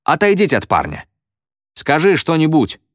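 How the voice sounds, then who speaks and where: Man voicing an excited, high-pitched schoolkid. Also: normal speed, no room sound, studio quality. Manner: eager, exclaiming. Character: excited crowd of schoolkids shouting demands.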